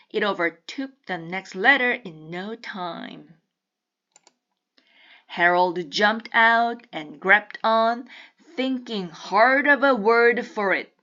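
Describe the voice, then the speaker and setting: Slow-paced woman reading a children's storybook. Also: fairly high pitch, sharp tone, highs cut off. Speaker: storybook reader. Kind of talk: children's picture book read-aloud.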